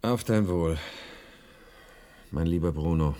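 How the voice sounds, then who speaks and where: An actor playing a grieving, eager widower in a radio play, brooding and commanding. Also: male voice, sits low, takes it slowly, warm tone. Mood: solemn, courteous. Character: grieving, eager widower bent on summoning his dead wife.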